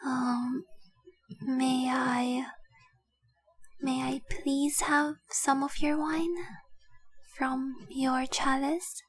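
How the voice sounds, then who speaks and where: A woman voicing a tender, possessive young dragon girl in love, speaking softly and breathily in an intimate roleplay audio drama. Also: high-pitched, flat delivery, extremely slow, long pauses, full character voice. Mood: reverent, tender. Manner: soft, breathy, lingering, adoring delivery.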